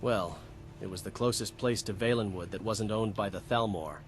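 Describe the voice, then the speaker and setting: A man voicing a friendly, wistful male wood-elf companion in a fantasy game, speaking in a measured, poetic storytelling manner. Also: fairly low pitch, soft background music, close to an everyday voice. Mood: reminiscing. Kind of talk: fantasy game character dialogue.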